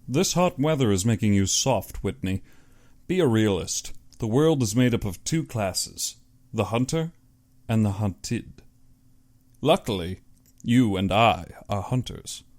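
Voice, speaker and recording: Man voicing a low, blunt, pragmatic hunter. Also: expressive, a little slow, a little mellow, dry recording. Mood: dismissive. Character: Rainsford, dismissive pragmatic male big-game hunter. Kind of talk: audiobook character dialogue.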